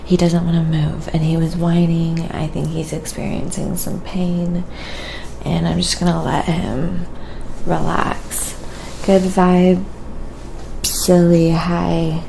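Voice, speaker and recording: A woman's voice: on the low side, level tone, slow pace, warm tone, poor audio. A woman hosting a live stream, chatting casually and informally with her viewers. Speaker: live stream host and painter. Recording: clear background noise, live stream.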